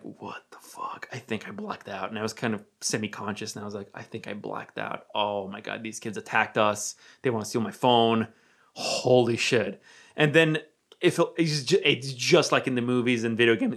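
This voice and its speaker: Young guy from romania, american accent, chatty storyteller, swears a lot, goes quiet and mumbly quoting himself. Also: moderate pace. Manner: animated, swears freely, drops to a low murmur when quoting himself. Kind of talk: casual storytelling.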